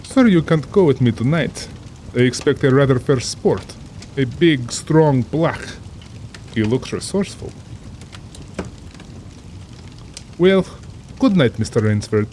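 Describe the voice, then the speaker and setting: An actor playing a cultured, genially menacing general and big-game hunter in a dramatized audiobook, genteel, theatrical and condescending. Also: male voice, mid-range voice, animated, normal speed, dark tone, slight background noise, natural voice. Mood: smugly genial.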